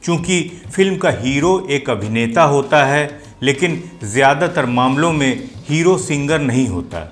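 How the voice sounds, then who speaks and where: A man's voice: a little high, expressive, unhurried, hardly any pauses. A man hosting and explaining things to viewers in video commentary. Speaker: host of a film music show. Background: music playing behind the voice.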